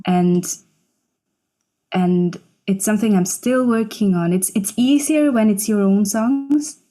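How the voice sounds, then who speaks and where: A singer-songwriter in her twenties speaks as a guest in a casual interview. She is a German speaker. Her voice is soft-edged and dark, at an average pitch for a woman, and she talks reflectively. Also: takes it slowly. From Switzerland. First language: Swiss German. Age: 20s.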